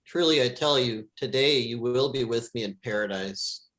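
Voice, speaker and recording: A man who is a pastor, reading scripture aloud in a solemn, measured way. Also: medium pitch, a bit bright.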